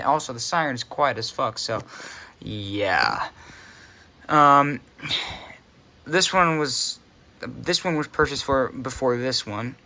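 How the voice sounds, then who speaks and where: Bright-voiced man, halting and offhand. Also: mid-range voice. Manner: halting, offhand, self-interrupting. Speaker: hobbyist video creator. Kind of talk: casual unscripted show-and-tell of a collection.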